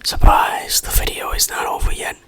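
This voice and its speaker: An ASMR host reviewing in a breathy, unvoiced whisper, with a playful, dryly self-mocking manner. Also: normal speed, bright, forceful tone.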